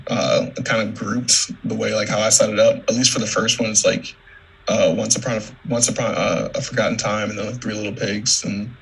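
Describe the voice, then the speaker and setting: A young man, an artist, chatting casually in a halting, informal, modest way over a video call. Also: on the low side, fairly even intonation, gravelly, a bit bright, slightly rough, low-quality recording. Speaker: artist presenting work. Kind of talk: casual chat.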